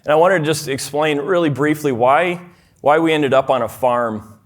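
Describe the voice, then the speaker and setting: A man's voice: fairly high pitch, normal speed. Minnesotan man giving a conversational talk. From Minnesota, USA. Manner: conversational, with fillers and restarts. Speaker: conference speaker. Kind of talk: church conference talk. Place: church hall.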